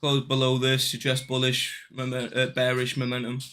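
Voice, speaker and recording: A man's voice: mid-range voice, monotone, normal speed, fairly bright tone, smooth. A young man chatting casually on a live trading stream, slangy and swearing as he banters with viewers. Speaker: day trading livestreamer.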